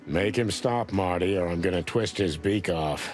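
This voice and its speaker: Man as a very low-voiced hard-boiled rooster cop. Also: normal speed, faint music behind, close to an everyday voice. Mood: menacing. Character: tough, laconic rooster police detective. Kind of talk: noir adventure game voice acting.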